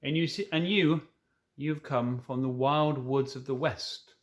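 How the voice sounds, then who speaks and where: A man's voice: mid-range voice, fairly even intonation, a little slow, natural voice. A man voicing a friendly, melancholy faun from a children's storybook, speaking in courteous, old-fashioned formal phrases. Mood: proud, explanatory. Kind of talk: children's storybook read aloud.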